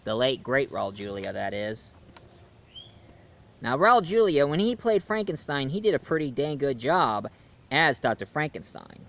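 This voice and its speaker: A man's voice: medium pitch, big pitch swings, measured pace, fairly bright tone. A man giving a casual spoken film review, chatty and jokey as he retells the plot.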